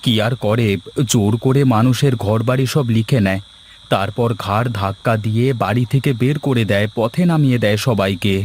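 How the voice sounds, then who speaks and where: A man's voice: mid-range voice, brisk, darkish tone, slight background noise, natural voice. A man playing a poor, worried village husband in a cartoon folk tale, speaking in a plain, emphatic way. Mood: earnest explaining. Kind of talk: cartoon dialogue voice acting. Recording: music behind the voice.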